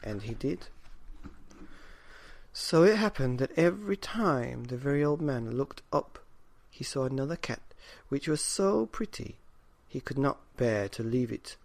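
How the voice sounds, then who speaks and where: Slow, animated, gravelly male storyteller. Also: medium pitch, no room sound. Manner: dramatic emphasis, repeats in rhythm. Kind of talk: expressive children's bedtime story narration.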